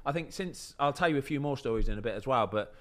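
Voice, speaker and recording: A man tells a long story on a podcast. He speaks at an ordinary pitch in a chatty, rambling way, often checking in with his listeners. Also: animated, quick pace, a bit bright. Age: 30s. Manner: chatty storytelling, frequent "do you know what I mean". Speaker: podcast host and footballer. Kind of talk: casual podcast chat.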